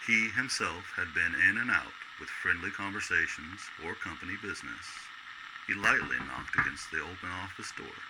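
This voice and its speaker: Low deep guy narrating an old-time radio story, noisy background. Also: normal speed.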